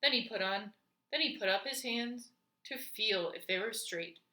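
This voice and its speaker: A woman reading a storybook aloud to young children in a deliberate, dramatic, expressive way. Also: mid-range voice. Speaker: storybook reader. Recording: echoey room.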